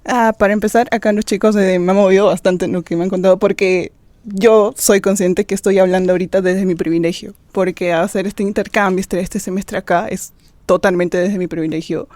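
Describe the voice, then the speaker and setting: A young woman with a Peruvian accent answers thoughtfully, pausing on small fillers. Her voice sits at a mid pitch, and the sound is dry and close. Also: steady tone, a little mellow, no room sound. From Peru. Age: young adult. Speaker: exchange student guest. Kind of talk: conversational answer.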